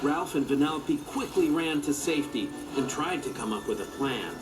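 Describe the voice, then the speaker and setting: A man's voice: on the high side, animated, normal speed, over music. A man reading a children's story aloud as its narrator.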